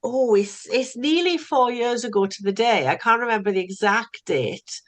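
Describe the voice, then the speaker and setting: A woman's voice: a little high, expressive, moderate pace. A middle-aged woman from South Wales with a Welsh accent, a recovery coach chatting as a podcast guest who often repeats words as she starts a thought. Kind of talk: casual interview chat.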